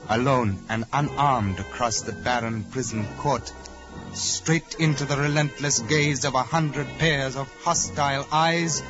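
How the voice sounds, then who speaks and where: A man acts a solemn, reverent disciple telling a dramatic radio tale. His voice is mid-pitched, with music behind it on a muffled 1940s recording. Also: unhurried, natural voice. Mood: solemn suspense. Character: devoted, solemn male disciple narrating his master's exploits.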